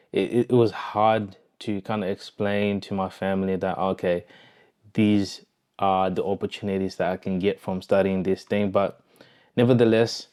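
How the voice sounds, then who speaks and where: A man's voice: lowish voice, a little mellow, clear, resonant tone. A young man with a Zimbabwean accent telling his own story casually, in a reflective, conversational way, as a vlogger. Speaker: vlogger, online entrepreneur. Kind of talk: casual personal storytelling to camera.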